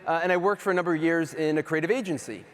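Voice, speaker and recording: A man's voice: fairly high pitch, racing, fairly bright tone. A middle-aged man from the US East Coast giving a casual keynote talk on stage, chatty and self-deprecating with lots of fillers. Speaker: keynote speaker. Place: large hall stage. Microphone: handheld or stage mic. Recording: reverberant hall.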